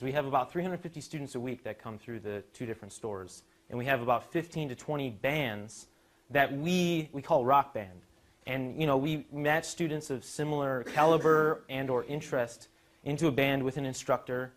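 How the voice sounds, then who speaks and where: A man giving an informal presentation in a conversational, casual way. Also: medium pitch.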